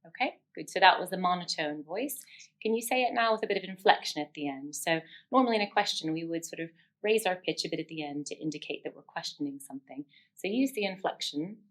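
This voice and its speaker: A woman's voice: on the low side, lively intonation, fast, a bit bright. A woman giving step-by-step instruction as a speech therapist, explanatory and encouraging.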